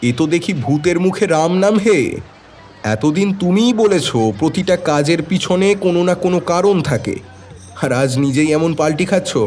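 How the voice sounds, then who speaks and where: A detective's inquisitive companion, voiced by a man in an audio drama. He is persistent and bantering, with a lifted, very lively pitch over music. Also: normal speed, lightly acted. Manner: questioning, bantering.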